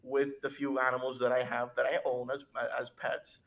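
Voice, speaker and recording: A man from Cuba whose first language is Spanish, co-hosting a podcast and telling his story casually, halting and repeating words. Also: medium pitch, completely flat delivery, creaky, a bit bright. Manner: halting, repeats words, chuckles now and then.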